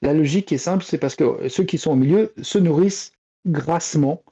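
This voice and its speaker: A man on a podcast panel explaining things casually and haltingly, piecing his sentences together, over a dull voice link. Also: fairly high pitch, dark tone, breathy edge.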